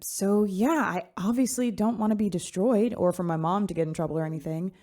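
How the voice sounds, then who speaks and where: A woman's voice: mid-range voice, a little fast, warm tone, lightly acted. Woman playing a bubbly computer teen girl, like-filled chatter, mid voice. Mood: casual. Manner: casual teen chatter, upbeat. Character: computerized teenage girl, chirpy AI daughter. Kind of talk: sci-fi radio drama.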